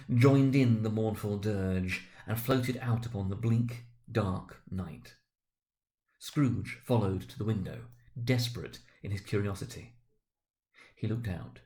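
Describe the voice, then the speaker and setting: A man's voice: lowish voice, a little mellow. A man reading a classic story aloud as a storyteller, in dramatic, scripted narration.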